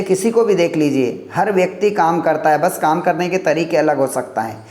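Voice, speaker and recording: Earnest gravelly man in an echoey room. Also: fairly high pitch, a little mellow. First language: Hindi. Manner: earnest, repeats points in lists. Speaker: motivational teacher. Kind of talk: motivational career talk.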